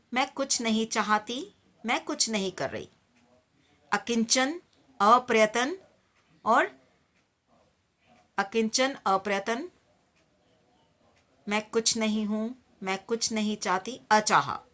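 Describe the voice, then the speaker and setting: Female meditation guide: higher-pitched, clear-edged bright tone, calm and soothing instructional delivery. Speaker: meditation teacher.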